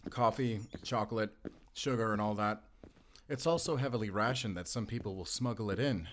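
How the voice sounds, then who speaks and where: A man's voice: fairly low pitch, moderate pace, a little hiss or hum, lightly acted. A man playing a wry, confident wolf hunter in an audio roleplay, talking in a teasing, conversational way to a companion. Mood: playful, teasing. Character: wry, playful male German wolf hunter, confident, protective. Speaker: voice actor. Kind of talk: cinematic audio roleplay acting.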